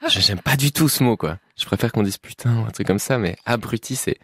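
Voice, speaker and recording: Deep gravelly young man chatting. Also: a little fast, dry recording, studio quality. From Belgium. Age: 20s. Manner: relaxed, laughs often, many fillers. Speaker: musician guest. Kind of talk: casual interview chat.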